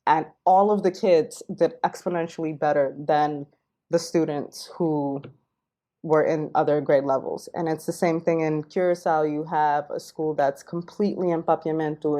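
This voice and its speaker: A woman from Queens, New York, talking as a podcast guest and linguistic anthropologist, explaining at length in a fluent, relaxed way with many fillers. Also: low-pitched. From Queens, New York, United States. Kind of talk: casual interview.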